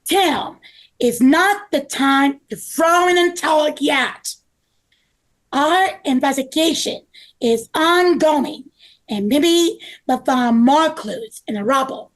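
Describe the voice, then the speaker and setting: Woman playing an earnest, high-voiced male builder. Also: takes it slowly, low-quality recording. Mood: encouraging. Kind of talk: table-read acting of a children's story.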